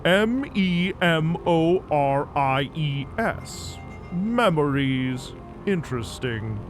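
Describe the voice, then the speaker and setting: An actor playing a smug, menacing mad-scientist doctor in an audio drama, speaking in a formal, condescending, theatrical way. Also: male voice, fairly high pitch, expressive, very slow, soft background music.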